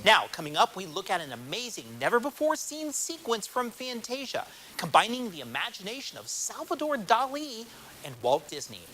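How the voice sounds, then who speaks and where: Animated American male TV film reporter. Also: high-pitched, moderate pace, poor audio. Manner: polished, promotional delivery. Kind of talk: scripted broadcast narration. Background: music.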